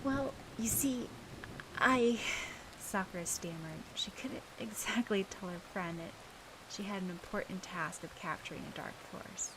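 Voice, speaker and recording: A woman's voice: on the low side, fairly even intonation, moderate pace. A woman reading scripted fiction as an audiobook narrator, with some noise in the recording.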